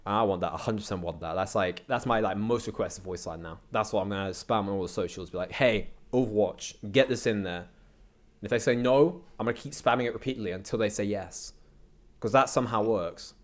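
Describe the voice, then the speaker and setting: A man's voice: lowish voice, a little fast. A young British man chatting casually as an interview guest, telling anecdotes in a slangy, rambling way. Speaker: interview guest, content creator.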